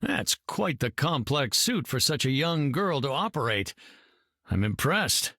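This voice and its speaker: An actor playing an earnest, self-assured scientist hero in a video game, articulate and formal. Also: male voice, medium pitch, big pitch swings, a little slow, bright, forceful tone, no room sound, studio quality, full character voice. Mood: diplomatic. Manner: articulate, formal, matter-of-fact.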